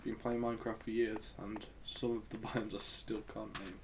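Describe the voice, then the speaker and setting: A man's voice: fairly low pitch, level tone, moderate pace, creaky, noisy background. A man losing his voice and coughing, giving casual, rambling gameplay commentary as a gaming channel host. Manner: rambling, hesitant, thinks aloud.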